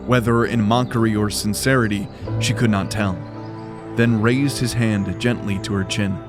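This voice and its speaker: A man's voice: on the low side, steady tone, moderate pace, over music. A man giving dramatic scripted narration for a fantasy audio drama.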